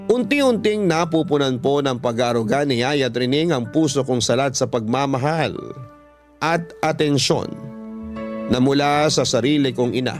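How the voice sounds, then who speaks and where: A Filipino man reads a personal story in the manner of a radio drama host. His pitch sits in the middle range. Music plays behind his narration.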